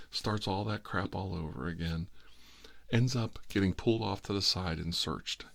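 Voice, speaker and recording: A man's voice: deep voice, no echo. A middle-aged American man telling a rambling story in ASMR style, with a wry, sarcastic, exasperated manner.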